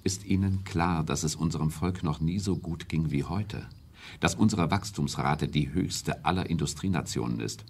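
An actor playing a stern, authoritative prime minister in a radio play, speaking formally and deliberately, as if lecturing. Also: male voice, rumbling low, darkish tone, husky, full character voice. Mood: insistent. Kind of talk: German radio play acting.

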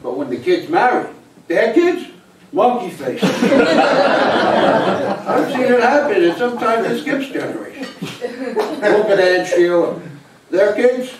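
A man's voice: high voice, animated, unhurried, long pauses. An elderly actor playing a teasing, wisecracking grandfather in a live comic stage play. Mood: gleeful storytelling. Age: 70s. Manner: wisecracking storyteller. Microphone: distant camera. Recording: echoey small theater, distant camera, hiss.

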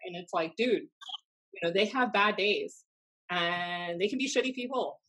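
An American woman in her thirties telling anecdotes casually, in a blunt, animated, sweary way, as a podcast host. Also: lowish voice, moderate pace, low-quality recording. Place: small room at home, indoors. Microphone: desk podcast microphone on video call.

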